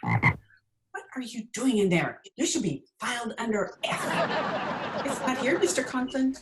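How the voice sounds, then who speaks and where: A woman playing a dry, sardonic, quick-witted schoolteacher in old-time radio comedy style. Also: fairly high pitch, big pitch swings. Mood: wry. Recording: noisy remote video call with clicks.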